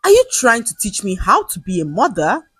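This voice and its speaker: Woman voicing a haughty, sharp-tongued rich mother. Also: medium pitch, wildly swooping pitch, normal speed, husky, no room sound, soft background music, heavily acted. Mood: angry, cutting. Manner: haughty, sharp, cutting, dismissive. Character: haughty, angry rich mother, indulgent and cutting. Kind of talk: dramatised quotes in narrated folktale storytelling.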